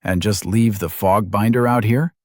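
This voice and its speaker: A man's voice: fairly low pitch, steady tone, moderate pace, no echo, studio quality, natural voice. A man voicing an earnest, pushy young friend. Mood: pressing.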